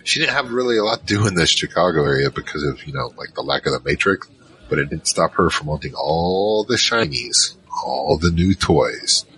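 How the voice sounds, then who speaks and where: Audio drama narrator: male actor as easygoing troll mage, extremely low pitch, crisp bright edge, rambling slangy storytelling. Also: animated, moderate pace, exaggerated voice, low-quality recording. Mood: wry reminiscing. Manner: casual, rambling, slangy asides. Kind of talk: conversational first-person drama narration.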